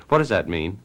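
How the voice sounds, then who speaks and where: A man's voice: sits low, fairly bright tone, heavily acted, rough recording. A man playing a vain, defensive kept husband and stage actor in a theatrical radio mystery drama, with a haughty manner. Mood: defensive, indignant.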